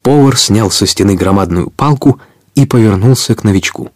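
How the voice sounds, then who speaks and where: A man narrating a Russian audio drama as the storyteller of an adventure tale, in a measured manner. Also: on the low side, animated, dry recording, clean studio sound. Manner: measured, clearly told storytelling.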